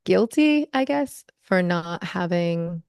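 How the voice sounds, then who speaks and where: A woman's voice: fairly low pitch, expressive, gravelly, a little mellow, smooth, no echo, studio quality. A young American woman, an author, reading her poem aloud and then reflecting on it thoughtfully in an interview over a video call. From United States. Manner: measured, thoughtful, rhythmic reading.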